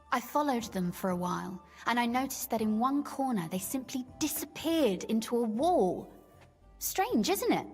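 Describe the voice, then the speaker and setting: A playful young noblewoman speaks in a high, articulate voice with genteel poise. She is curious and determined, a teasing storyteller in a video game, with long reverb and faint music around her. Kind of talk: video game dialogue acting.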